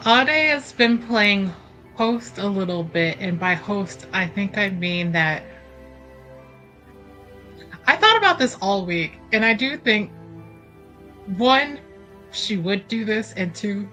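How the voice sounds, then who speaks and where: A woman's voice: medium pitch, expressive, slow, pushed and bright, faint music behind. A woman playing a tabletop roleplaying game, chatting casually about what her character does.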